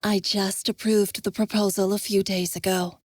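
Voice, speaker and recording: A woman's voice: medium pitch, flat delivery, normal speed, no echo, clean studio sound, close to an everyday voice. Woman voicing a soothing royal mother. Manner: soothing, gentle. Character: gentle royal mother, middle-aged woman.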